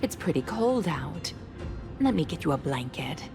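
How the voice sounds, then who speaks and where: A woman playing a warm, fussing older family friend, a motherly video game character. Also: low voice, sing-song, normal speed. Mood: caring, fond. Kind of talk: game voice acting. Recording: music behind the voice.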